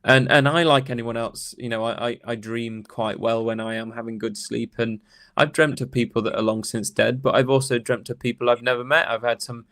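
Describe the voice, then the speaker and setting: A man's voice: mid-range voice, steady tone, a little fast, fairly bright tone. A man speaking as an academic interview guest, telling anecdotes in a thoughtful, rambling, conversational way.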